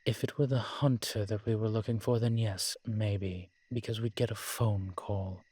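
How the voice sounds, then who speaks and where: A man playing a world-weary, morose male vampire in a roleplay audio drama, speaking in a measured, formal, brooding manner with sighs and pauses. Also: lowish voice, steady tone, a little mellow, breathy edge, no room sound. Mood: worried, grim. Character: weary old male vampire, morose realist, formerly cruel.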